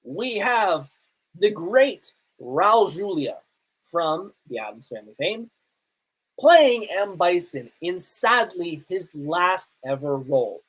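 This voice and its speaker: A man hosting a podcast, reviewing movies casually in an emphatic, halting way with pauses for effect. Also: high voice, very animated, a bit bright, slightly rough, low-quality recording. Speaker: podcast host.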